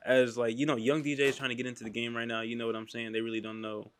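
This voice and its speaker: A man hosting an interview in loose, slangy talk, filling in with "you know what I'm saying" and steady affirmations. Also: medium pitch, fast talker, clean tone. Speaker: interview host.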